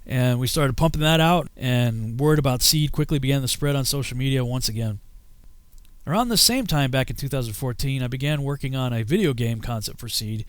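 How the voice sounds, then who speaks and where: A man's voice: medium pitch, fairly quick, clean tone, no room sound. A man narrating his filmmaking story in a conversational documentary style.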